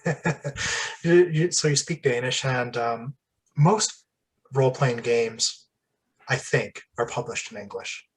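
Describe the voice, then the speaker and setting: A man hosting a casual interview, chatty and rambling, with asides and the odd throat clearing. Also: medium pitch, slow, pauses often. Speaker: podcast host.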